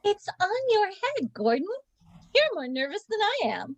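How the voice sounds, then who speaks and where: A woman's voice: very high voice, wildly swooping pitch, a little slow, full character voice. A woman playing a naive, anxious amateur panto actress in a read-through of a stage comedy. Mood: innocent. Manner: hesitant, naive, dithering. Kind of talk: play reading of a stage comedy.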